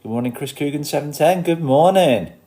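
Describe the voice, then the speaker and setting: A man's voice: fairly high pitch, expressive, fairly quick, mellow. A man with a nasal, stuffed-up voice from a cold, chatting informally on a livestream and muttering asides to himself. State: has a head cold. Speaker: livestream host. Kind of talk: casual livestream chat, greeting viewers while looking over fish tank.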